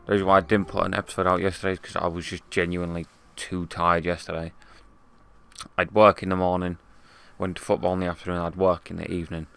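A young man with a hoarse voice, giving chatty, wry let's play commentary and swearing now and then. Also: deep voice, little pitch movement, quick pace, a bit bright, no room sound. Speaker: gaming YouTuber.

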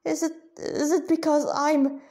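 A woman's voice: high voice, flat delivery, unhurried, a little mellow, pitched above the performer's own voice. A woman voicing an anxious, devoted young man in a story, stammering and pleading.

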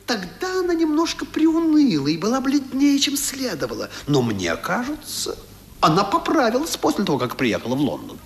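Radio play servant: cheerful, eager, high-pitched, extremely lively intonation. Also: male voice, normal speed, heavily acted. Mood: respectful, chatty. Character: cheerful, eager young manservant, loyal and chirpy. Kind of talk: 1970s Soviet radio play acting.

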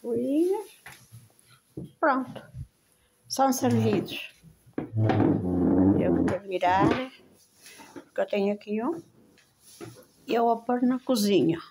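A woman's voice: fairly high pitch, big pitch swings, slow pace, long pauses. An older woman with a European Portuguese accent, rambling casually and affectionately to viewers as a home vlogger, recorded on a handheld phone. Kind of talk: casual chat to viewers at the table. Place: home dining room, indoors. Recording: handheld phone, clinking cutlery noise. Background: cutlery and dishes clinking.

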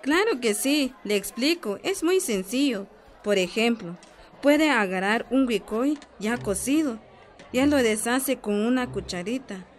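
A village health adviser in a radio soap. Her Guatemalan voice is slightly high, and she explains things in a patient, encouraging, assured way. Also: lively intonation, unhurried, faint music behind. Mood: explaining, encouraging. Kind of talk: radio soap opera acting.

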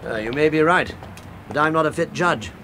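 A man's voice: on the high side, moderate pace, pushed and bright, a little hiss or hum. A man playing a hearty, grumbling, well-spoken businessman in a radio drama. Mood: rueful. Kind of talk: 1970s radio drama acting.

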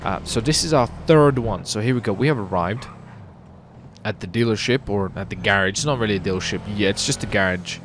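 A man commentating his roleplay gameplay, excitable and hyped, his voice jumping when something surprises him. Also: on the low side, a little fast, slight background noise. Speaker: gameplay streamer.

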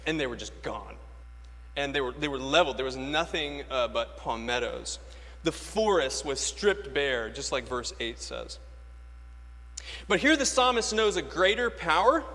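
A man's voice: a little high, pushed and bright. An American man from Florida, an Anglican priest, preaching a sermon emphatically with rhetorical questions and repeated key phrases. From Florida, United States. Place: large church interior, speaking from a lectern. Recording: echoey church with long reverb.

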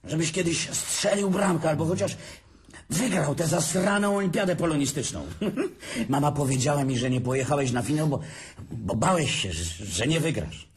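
A man's voice: a little high, animated, moderate pace, lightly acted. An actor playing a bitter, drunk older brother who mocks and laughs at his sibling in a radio drama. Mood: taunting. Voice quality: sneering, laughs often.

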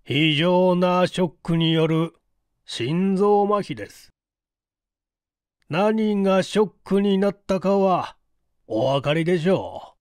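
A woman voicing a composed, courteous male detective chief in an audiobook, explaining in a deliberate, emphatic and formal way. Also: on the low side, expressive, darkish tone, dry recording, studio quality. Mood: grave, accusing. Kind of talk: storybook dialogue in audiobook reading.

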